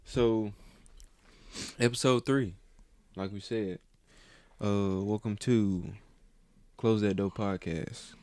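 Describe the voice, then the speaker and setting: Young man, podcast host, low voice, dry close sound, rambling, cusses a lot. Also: fairly even intonation, slow pace, pauses often, darkish tone, no room sound. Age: 20s. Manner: rambling, profane, full of fillers and restarts. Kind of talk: casual chat.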